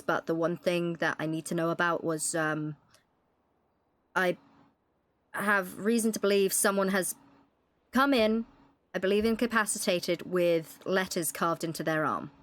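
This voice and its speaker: A woman with a fairly low voice talks in character as a police chief in her thirties. She swears easily and sounds worried as she works through the case out loud. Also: steady tone, normal speed. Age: 30s. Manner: swears freely, worried and explaining at length. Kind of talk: in-character roleplay chat.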